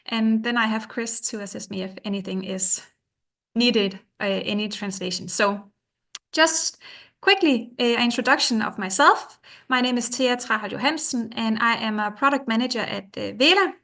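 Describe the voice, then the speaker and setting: A woman with a Danish accent giving a careful, measured webinar presentation in English, her second language, over a video call. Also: a little high, gravelly, sharp tone. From Denmark. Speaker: webinar presenter, product manager.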